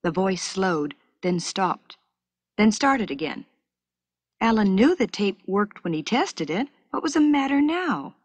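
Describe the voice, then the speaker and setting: Female narrator, mid-pitched, measured and clear, 1970s classroom story cassette, dry studio sound. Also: big pitch swings, dry recording. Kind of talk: scripted educational story narration for children.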